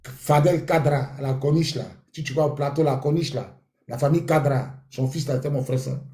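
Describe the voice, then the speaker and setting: A man's voice: fairly high pitch, steady tone, a little fast, mellow, reverberant. A man hosting a live talk room, chatty and repetitive as he probes a caller with questions. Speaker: host. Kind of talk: live audio room moderation.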